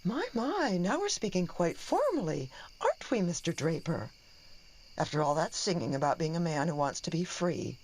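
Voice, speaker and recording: A woman's voice: on the low side, very animated, normal speed, darkish tone, a little hiss or hum. A woman playing a hurt, sarcastic middle-aged wife and mother in a radio play, speaking with open emotion. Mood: sarcastic, frosty.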